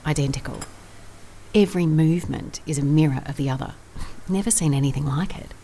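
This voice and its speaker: A woman's voice: sits low, warm tone, slight background noise. A woman playing a weary private investigator in an audio drama, dictating case notes in a dry, guarded, confiding way.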